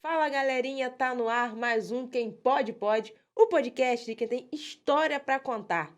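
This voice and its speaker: A woman hosting a podcast, chatty and lively, asking her guest questions. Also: high voice, measured pace, noisy background. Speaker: podcast host. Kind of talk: casual interview.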